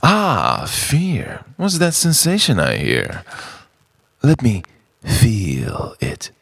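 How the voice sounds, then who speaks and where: A man delivering a spoken-word poetry monologue in a theatrical, halting way, moving between hushed murmurs and louder lines and repeating words like a refrain. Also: mid-range voice, huge pitch swings, gravelly, breathy edge, no room sound. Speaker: poet, spoken-word performer.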